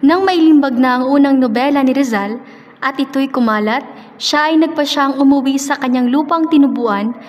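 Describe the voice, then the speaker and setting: High-voiced Filipina radio drama narrator. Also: female voice, moderate pace, muffled recording, slight background noise. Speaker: narrator and advertisement announcer. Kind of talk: scripted narration. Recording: reverberant recording with music behind.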